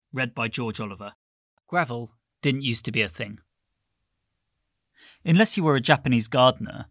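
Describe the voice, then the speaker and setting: Male narrator, scripted magazine read, medium pitch, close and dry sound. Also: fairly bright tone, dry recording. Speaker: reader of magazine article.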